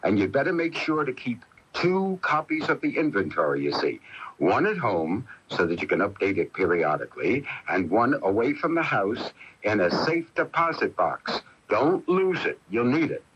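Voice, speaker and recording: A man's voice: mid-range voice, big pitch swings, normal speed, fairly bright tone, husky. A man reading a scripted consumer advice announcement on the radio. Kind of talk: scripted public service announcement. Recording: dull radio broadcast.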